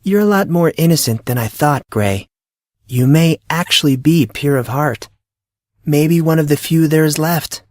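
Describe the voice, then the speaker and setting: Man acting as a teenage high school girl, brusque, secretive, taunting, raised lively pitch, dry close recording, audio drama. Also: a little slow, no echo, lightly acted. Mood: cool, dismissive.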